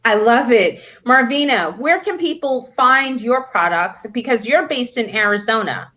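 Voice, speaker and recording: A woman's voice: a little high, expressive, measured pace, pushed and bright. A woman hosting a live online panel, upbeat and exclamatory, asking guests questions. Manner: upbeat, exclamatory, laughs often. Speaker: panel host, moderator. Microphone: video call.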